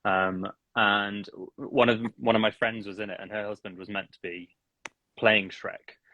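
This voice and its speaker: Low-voiced chatty man, dry sound. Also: steady tone, normal speed, pushed and bright, dry recording, low-quality recording. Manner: chatty, laughs often, self-deprecating. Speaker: singing teacher, podcast guest. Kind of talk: casual interview chat.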